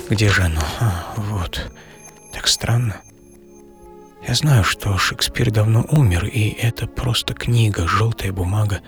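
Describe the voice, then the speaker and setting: An actor playing an aged, wry psychoanalyst who recalls his life in a theatrical radio-play monologue with pauses. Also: on the low side. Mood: wondering. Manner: theatrical, short phrases with pauses. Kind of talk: dramatic first-person monologue, radio play. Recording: music behind the voice.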